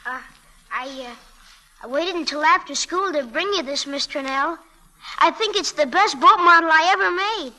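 A woman's voice: high-pitched, normal speed, pushed and bright, slight background noise, low-quality recording. A woman playing a shy, eager schoolboy in an old-time radio drama, speaking in a hesitant, earnest, boyish way. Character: shy, eager schoolboy model-boat maker.

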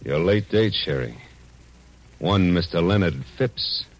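An actor playing a cynical, tough-talking private detective in the wry, slangy manner of 1940s radio noir. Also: male voice, deep voice, lively intonation, slow, rough recording. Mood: blunt. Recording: old 1940s radio broadcast, music behind.

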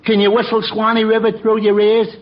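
An actor playing a dim-witted, blunt tavern regular in a 1940s radio comedy. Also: male voice, high-pitched, monotone, fairly quick, lightly acted. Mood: blunt, mocking. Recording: muffled, noisy vintage radio recording.

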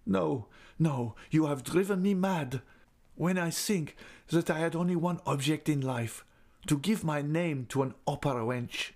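Storybook role: passionate jealous young aristocrat, male reader, pitched up, bitter and theatrical. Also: unhurried, a little mellow. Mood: despairing. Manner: melodramatic, bitterly accusing. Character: passionate jealous young nobleman, wounded and furious. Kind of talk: dramatic audiobook character reading.

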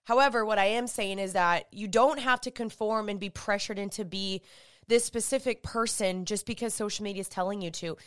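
A woman's voice: mid-range voice, fairly quick, clean tone. Stuffy-nosed woman podcasting. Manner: chatty, upbeat, with filler likes. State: stuffy, congested nose. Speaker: podcast host. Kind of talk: casual solo podcast talk.

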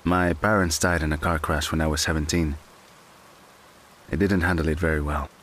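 A man acting a gentle, patient friend in an audio drama, consoling in a measured, coaxing way. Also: rumbling low, steady tone. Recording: clear background hiss.

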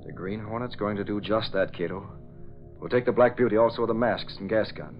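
An actor playing a calm, decisive newspaperman with a secret crimefighting life, in a measured, authoritative 1940s radio style. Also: lowish voice, faint music behind, lightly acted. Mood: determined. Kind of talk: 1940s radio drama acting. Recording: dull old radio recording.

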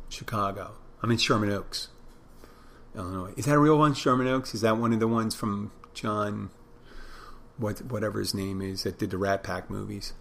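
A man's voice: lowish voice, a bit bright. A middle-aged man with a Philadelphia accent hosting a podcast solo, talking casually in a meandering, self-deprecating way and trailing off mid-thought. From Philadelphia, United States. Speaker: podcast host.

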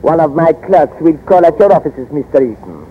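An actor playing a haughty, menacing North African finance minister in old-time radio drama, formal and quietly threatening in manner. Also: male voice, a little high, big pitch swings, normal speed, slight background noise. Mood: veiled menace. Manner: formal, smooth-worded, threatening. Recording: dull vintage radio recording.

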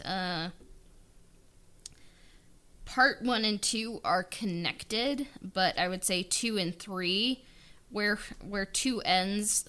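A woman's voice: mid-range voice, fairly bright tone. Modest, tentative woman in casual conversation. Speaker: podcast guest, audio drama writer and director. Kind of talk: casual interview chat.

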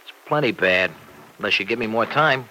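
An actor playing a worried, hard-up young husband in an old radio soap, talking in a hesitant, colloquial, placating way. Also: male voice, fairly low pitch, animated, fairly quick, bright, forceful tone. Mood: pleading. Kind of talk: 1940s radio soap opera acting. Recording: faintly noisy vintage radio drama recording.

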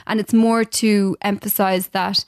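A woman's voice: mid-range voice, little pitch movement, measured pace, no echo. Irish woman, mid-range voice, thoughtful, hesitant explainer, dry sound. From Ireland. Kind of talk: casual chat.

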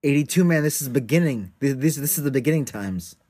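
A man's voice: mid-range voice, lively intonation, fast talker, darkish tone, dry recording. A man co-hosting a casual podcast, teasing and sarcastic, with some swearing. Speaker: podcast co-host.

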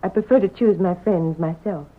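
A woman's voice: fairly low pitch, normal speed, lightly acted. An actress playing a proud, defiant young ranch woman in a Western radio drama. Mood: cool defiance. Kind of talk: old-time radio acting. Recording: tinny, noisy vintage radio recording.

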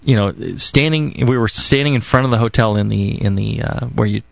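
A man's voice: lowish voice, brisk, gravelly, dry recording. A tired Californian man co-hosting a homebrewing radio show, rambling with many fillers and restarts. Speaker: podcast co-host. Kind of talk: casual radio chat.

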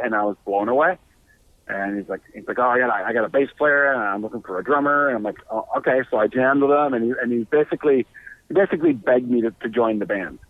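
A man's voice: mid-range voice, dry recording. A man telling stories as a musician guest in a chatty, loose way over a phone line. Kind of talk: casual interview storytelling.

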